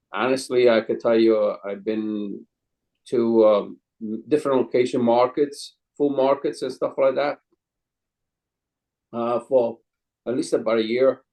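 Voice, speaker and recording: A man in his sixties from Calabria, Italy, speaking English with an Italian accent as an interview guest, telling his story in a hesitant, rambling way with many pauses and some non-native phrasing. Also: medium pitch, fairly even intonation, vocal fry, darkish tone, poor audio. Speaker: guest, chef and caterer.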